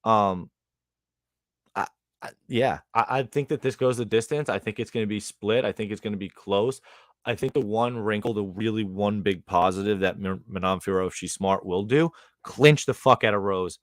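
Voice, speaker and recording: A man co-hosting a podcast, breaking down fight betting lines in an animated, slangy way. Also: lowish voice, moderate pace, no echo, clean studio sound. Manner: animated, slangy, calls people dog. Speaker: podcast co-host, betting analyst. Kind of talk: casual sports betting chat.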